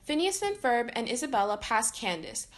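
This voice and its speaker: A woman reading a children's story aloud in an expressive way, acting out the dialogue. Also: on the high side, moderate pace. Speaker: storybook reader.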